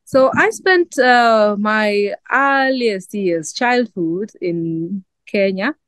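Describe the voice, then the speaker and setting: A woman's voice: mid-range voice, expressive, very slow, fairly bright tone, no room sound. A young Ugandan woman journalist with a Swahili-influenced East African accent, answering interview questions thoughtfully with many fillers over a video call. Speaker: guest journalist. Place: outdoors by a garden.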